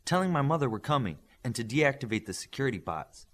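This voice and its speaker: A man voicing a brisk, bossy young Japanese superheroine in an audiobook, speaking in an assertive, instructing way. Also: mid-range voice, sing-song.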